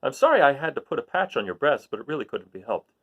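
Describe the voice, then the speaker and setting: A man's voice: mid-range voice, very animated, fast talker, highs cut off. A man voicing a little humbug wizard in a storybook, courteous and reassuring.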